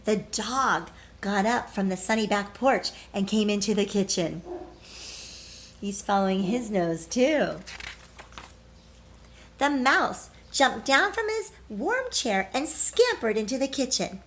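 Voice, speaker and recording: Lady teacher doing storytime for little kids, slow and really expressive, asks them questions. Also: mid-range voice, a little hiss or hum. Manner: expressive, prompting young listeners. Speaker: teacher reading aloud. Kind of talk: children's storybook read-aloud with questions to listeners.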